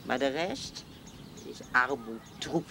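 An actress playing a chatty, plain-spoken old spinster smallholder in a television period drama, folksy and wry. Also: female voice, a bass voice, animated, slow pace, bright, forceful tone, exaggerated voice. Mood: earnest, wry. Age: older. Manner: folksy, blunt, wry, talkative. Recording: noisy old TV soundtrack with farmyard birds.

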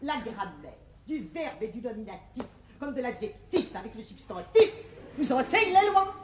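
High-voiced, affected pedantic spinster. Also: female voice, very animated, normal speed. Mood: lecturing. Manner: precious, declamatory, lecturing. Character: affected, fussy learned spinster, condescending grammar pedant. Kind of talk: 1950s classical French stage comedy in verse. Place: theatre stage. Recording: roomy 1950s theatre recording, faint noise.

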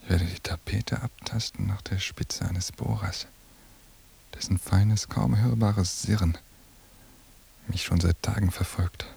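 A very gravelly, low-pitched man, husky and breathy to the point of half-whispering. He is paranoid and obsessive, brooding deliberately through his secret thoughts. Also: fairly even intonation, a little mellow, heavily acted. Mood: paranoid, hushed. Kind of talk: radio drama interior monologue.

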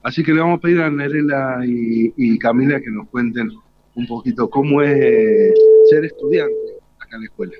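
A man's voice: mid-range voice, moderate pace, highs cut off. A man with an Argentine accent presenting live on radio as a co-host, unscripted and often repeating his words.

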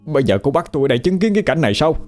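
A man's voice: a little high, very animated, rapid-fire, a little mellow, faint music behind. A man with a Southern Vietnamese accent playing a talkative, fussy male ghost who grumbles and gets flustered. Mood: grumbling. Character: nosy, chatty male ghost, young man.

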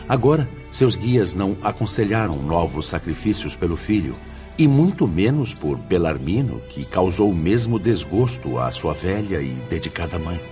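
An actor playing a grave, fatherly spirit director in a Brazilian radio drama, speaking in a solemn, measured and formal way with a Brazilian accent. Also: low-pitched. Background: music.

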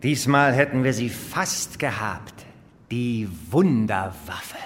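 An actor playing a cool, dutiful state official in a radio drama, deferential, measured and matter-of-fact. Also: male voice, medium pitch, animated. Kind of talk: German radio drama acting. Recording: long hall reverb with faint noise.

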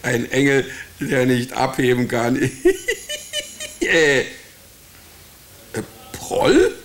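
An actor playing a dazed, confused private detective in a radio drama, groggy, halting and stammering, with a sardonic streak. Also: male voice, medium pitch, fairly bright tone.

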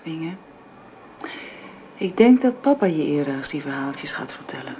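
An actress playing a gentle, anxious young housekeeper and nanny in a radio drama, speaking hesitantly. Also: female voice, deep voice, sing-song, moderate pace. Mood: sad, gentle. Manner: hesitant, deferential to employer. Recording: dull, noisy old radio recording.

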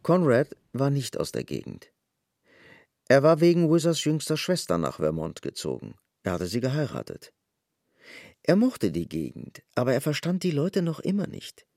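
Creaky-voiced male narrator with lively intonation. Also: medium pitch, moderate pace, dry recording, clean studio sound. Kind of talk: scripted literary narration in German audio drama.

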